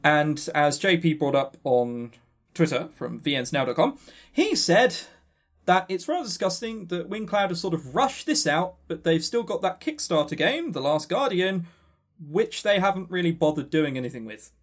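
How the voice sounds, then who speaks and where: A man's voice: on the high side, expressive, moderate pace. A man with a southern English accent chatting casually as a podcast co-host, blunt and emphatic, given to swearing. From Brighton, England. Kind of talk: casual opinionated podcast chat.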